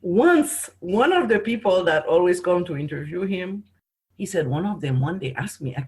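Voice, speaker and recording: A middle-aged woman from Haiti, a podcast guest, telling stories in an animated way with frequent restarts. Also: lowish voice, normal speed, rough recording. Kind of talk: conversational storytelling interview.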